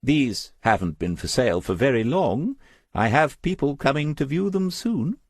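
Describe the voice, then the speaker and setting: Man putting on a genteel, gushing lady gallery owner, high-pitched. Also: sing-song, moderate pace, husky, no room sound, clean studio sound. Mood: proud, confiding. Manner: pompous, affected. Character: genteel, self-important middle-aged female gallery owner, gushing sales talk. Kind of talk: audiobook character voice.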